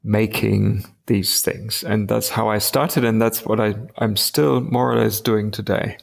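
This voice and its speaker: Gravelly German-accented man, hesitant. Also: on the low side, level tone, unhurried. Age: 50s. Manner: hesitant, many restarts and fillers. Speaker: guest designer. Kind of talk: casual interview talk.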